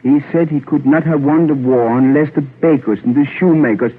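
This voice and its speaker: A humble, earnest baker in a 1940s radio play. His voice is husky and fairly high for a man, heard through a narrow, muffled old broadcast. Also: normal speed. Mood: earnest, proud. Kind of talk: 1940s radio drama acting.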